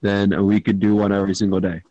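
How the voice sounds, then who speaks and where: A man's voice: fairly low pitch, flat delivery, fast talker, warm tone, no room sound, dull audio. A teenage boy with an Irish accent hosting a casual podcast chat on a home mic, swearing and teasing bluntly. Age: teens. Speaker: podcast host. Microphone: home microphone.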